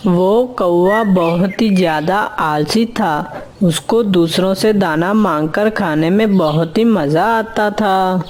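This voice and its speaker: A woman's voice: on the low side, slow pace, a little mellow, soft background music. A woman narrating a scripted children's story.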